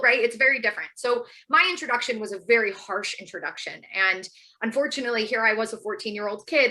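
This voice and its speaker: An American woman in her thirties speaks as a guest educator in an informal, personal style. Her voice is bright and fairly high, and she moves very quickly, leaning into emphatic asides. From United States. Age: 30s.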